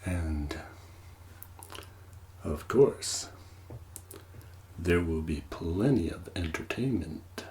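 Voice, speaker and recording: A man playing a mysterious, courteous manor master and game host in a soft, partly whispered, formal ASMR roleplay voice. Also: low-pitched, lively intonation, a little hiss or hum, full character voice. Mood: theatrical welcome. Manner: slow, deliberate, formal, long pauses.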